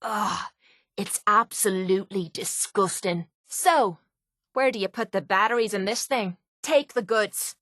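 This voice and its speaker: A woman's voice: medium pitch, measured pace, a bit bright, no echo, clean studio sound, lightly acted. An actress playing a brash, sarcastic, hard-drinking young woman brawler in a video game, with an Irish accent and a blunt, mocking way of talking. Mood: disgusted. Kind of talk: video game companion voice lines.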